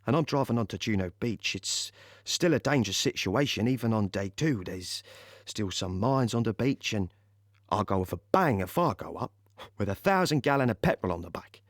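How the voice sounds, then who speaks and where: Audio play: cheerful young army driver, colloquial storyteller, highly animated delivery, dry sound. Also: male voice, normal speed, dry recording. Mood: tense recounting. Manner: colloquial, ungrammatical, chatty storytelling with chuckles. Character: cheerful working-class young British army driver recalling D-Day. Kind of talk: dramatic monologue in an audio play.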